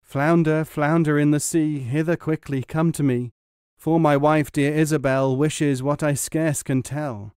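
A timid fisherman speaks meekly and apologetically, voiced by a man in his own register. The phrasing is lively, and the sound is dry and close. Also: medium pitch, moderate pace, dry recording, lightly acted. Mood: chanting. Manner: hesitant, apologetic. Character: meek, timid old fisherman, easily bullied.

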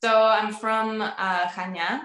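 A young woman in her twenties, a singer, being interviewed over a video call from a room at home. She talks in a bubbly, animated way and laughs often. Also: medium pitch, slow. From United States, family from Crete. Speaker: guest, recording artist. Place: indoor room at home with instruments on the wall. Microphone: video call, webcam. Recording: roomy video call audio.

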